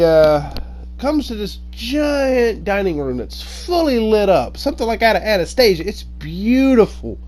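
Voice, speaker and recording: A man telling a story informally as host, dramatic in his delivery and acting out the dialogue. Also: sits high.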